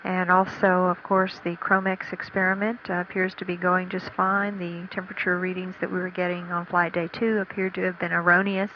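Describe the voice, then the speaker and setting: Halting woman commentator, flat, muffled feed. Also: lowish voice. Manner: halting, matter-of-fact. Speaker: mission control commentator. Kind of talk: unscripted status commentary. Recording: dull archival radio feed with faint noise.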